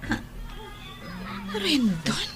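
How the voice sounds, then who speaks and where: A woman's voice: high-pitched, wildly swooping pitch, extremely slow, loud music behind the voice, heavily acted. A woman playing a tender young unmarried woman being courted, in Ilocano radio drama style with an Ilocano Filipino accent. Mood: gently questioning.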